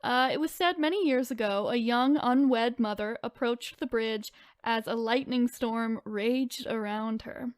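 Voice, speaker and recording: A woman's voice: fairly high pitch, a little slow, hardly any pauses, dry recording. A woman hosting a podcast, chatting informally and reading spooky stories aloud with casual asides. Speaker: podcast host.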